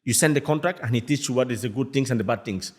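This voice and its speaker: A young man from Mali talks fast and keeps up a lively, bouncing delivery. He speaks English as a second language, with simple phrasing. He is a musician telling stories as a podcast guest. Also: mid-range voice. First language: a Malian language. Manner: fast, animated talk in non-native English, acts out quoted people. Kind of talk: casual podcast chat.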